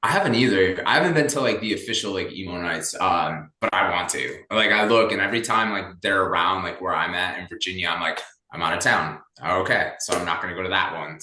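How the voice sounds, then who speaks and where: A man's voice: sits low, brisk, sharp tone. Laughing man in his thirties, echoey room. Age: 30s. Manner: laughs often, rambling with many fillers. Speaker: podcast guest. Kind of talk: casual chat.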